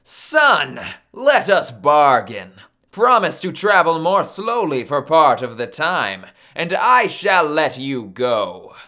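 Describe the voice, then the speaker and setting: A bold half-god hero of a storybook, voiced by a man. He declaims slowly and firmly, boastful and fearless, with a mid-pitched voice. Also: sing-song, sharp tone, exaggerated voice. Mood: bargaining. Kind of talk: storybook character acting.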